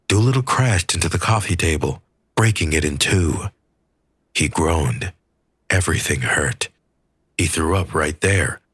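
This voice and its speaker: A man reading a scripted story aloud as an audiobook narrator, with a husky voice. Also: deep voice, a bit bright, dry recording, studio quality.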